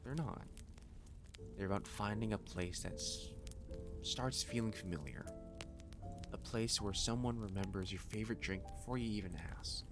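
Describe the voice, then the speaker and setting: A man as a low-voiced, playfully doting catboy waiter. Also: normal speed, long pauses, music in the background, lightly acted. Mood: warm, reassuring.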